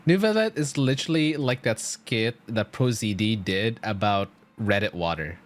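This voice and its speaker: Low-voiced man streaming a game, casually. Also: expressive, moderate pace. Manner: rambling, informal, slangy. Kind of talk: casual live gameplay commentary.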